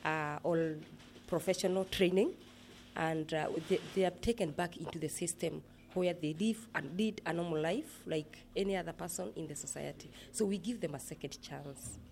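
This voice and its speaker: A woman with a Kenyan accent explaining her work in an interview, speaking in a measured, earnest way with pauses. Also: on the low side, vocal fry, darkish tone, slight background noise. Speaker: interviewee, project manager at a rehabilitation center.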